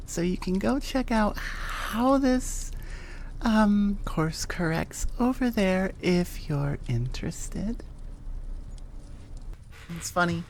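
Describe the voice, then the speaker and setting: A woman's voice: mid-range voice, expressive, slow, pauses often, darkish tone, slight background noise. A woman hosting her audio channel, chatting casually to listeners in a rambling, informal way and often interrupting herself. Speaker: audio roleplay creator and host. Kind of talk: casual, improvised chat to listeners.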